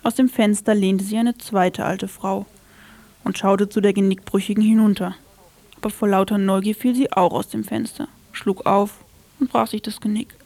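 A woman's voice: medium pitch, steady tone, moderate pace, warm tone, no room sound. Woman radio narrator, dry close sound. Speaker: radio presenter. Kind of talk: scripted narration and literary reading on morning radio.

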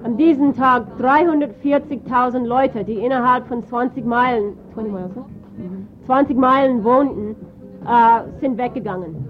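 Hesitant woman interpreter, slightly high voice. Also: normal speed. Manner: hesitant, searching for words. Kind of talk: consecutive live interpreting. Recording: dull, faintly noisy archive tape.

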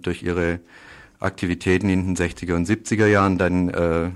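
A man explaining political news in a radio interview, halting often and repeating words as he goes. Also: deep voice. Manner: halting, hesitant delivery with frequent pauses and repeated words. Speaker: interviewee reporting on Uruguay.

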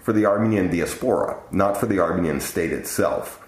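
A man giving a measured, deliberate interview answer in an analytical way. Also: sits low, a crowd in the background. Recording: roomy, echoing interview sound.

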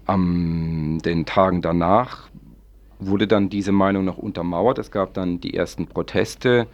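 Low gravelly guy hosting radio news, kinda hesitant, rambling sentences, dry studio sound. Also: fairly even intonation, dry recording, muffled recording. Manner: halting, hesitant, long winding sentences. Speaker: radio magazine host. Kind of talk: semi-improvised local news presentation.

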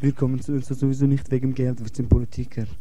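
A man's voice: mid-range voice, level tone, fast talker, dark tone. Kurdish man in earnest broken German. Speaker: asylum seeker. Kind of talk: casual chat.

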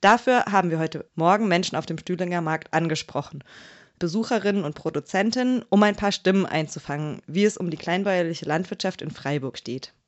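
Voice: medium pitch, fairly quick, lo-fi sound. A reporter presenting a scripted radio introduction.

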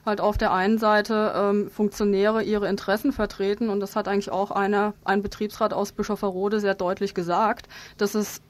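A woman being interviewed as an activist guest, explaining her position in a halting, spontaneous way with frequent ums. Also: medium pitch, flat delivery.

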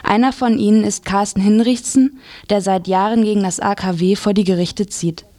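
A woman's voice: medium pitch, fairly even intonation, normal speed, warm tone, no echo. A woman presenting on radio, reading a scripted news introduction.

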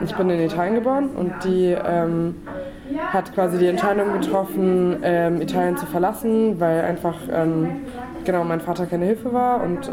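A woman at a discussion café sharing a personal story, with many fillers and pauses. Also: on the low side. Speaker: café participant.

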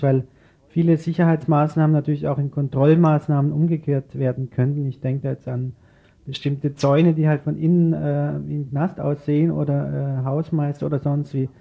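A man hosting an informal radio interview, speaking haltingly as he searches for words. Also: a little high, vocal fry. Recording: dull, lo-fi radio recording.

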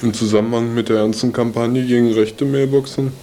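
Mellow man in a noisy interview clip. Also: medium pitch, fairly even intonation, fairly quick. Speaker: interviewee, magazine staff member. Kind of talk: spontaneous spoken explanation.

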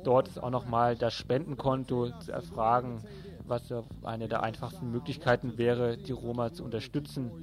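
A man's voice: medium pitch, little pitch movement, normal speed. A man presenting event listings on local radio in an informal, colloquial way. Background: music playing underneath.

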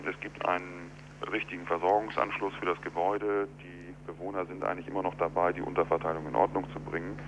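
A man speaking as an architect in a telephone interview, explaining in a measured, deliberate, argumentative way. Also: sits low, fairly bright tone. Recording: dull telephone line with clear hum.

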